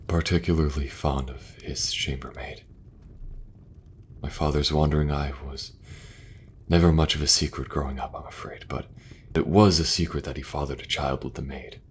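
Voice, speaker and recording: Very deep-voiced man playing a gentle king. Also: breathy edge, full character voice. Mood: earnest. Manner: gentle, soft-spoken, faltering at awkward moments. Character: earnest young adult king and brother. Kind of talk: audio roleplay acting.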